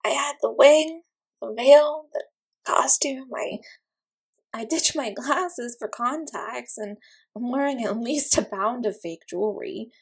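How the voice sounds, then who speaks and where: A woman's voice: fairly high pitch, a little slow, slightly rough, rough recording. A woman playing an awkward, sarcastic young friend with secret feelings in a roleplay audio, speaking casually, hesitantly and with self-deprecating wit. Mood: flustered, exasperated. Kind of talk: scripted intimate roleplay audio, casual confession.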